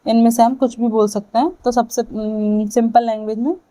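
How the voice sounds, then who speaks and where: A woman with an Indian accent lecturing to students, explaining and dictating key points in halting, deliberate phrases with frequent check-ins. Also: fairly high pitch, steady tone, mellow. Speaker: physiotherapy lecturer.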